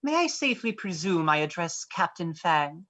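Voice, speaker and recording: A woman playing a smug, scheming gentleman villain in a stage play reading, with florid, mocking formality. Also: lowish voice, big pitch swings, normal speed. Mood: smug, sardonic. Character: smug, genteel male villain, scheming and sardonic.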